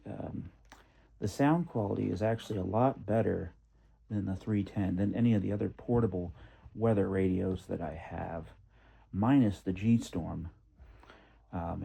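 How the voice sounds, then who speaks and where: Low, dark-voiced man with a gravelly husk. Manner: halting, methodical, thinking aloud. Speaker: product reviewer. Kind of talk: casual spoken product comparison.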